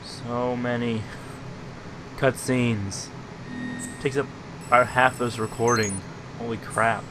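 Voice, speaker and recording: A man giving casual, deadpan commentary while playing a video game. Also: mid-range voice, slow pace. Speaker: gameplay commentator. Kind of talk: casual let's-play commentary. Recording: noisy, over game audio.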